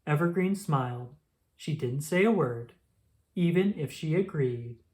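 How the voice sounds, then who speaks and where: A woman reading a children's book aloud as an expressive storyteller. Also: deep voice, a little slow.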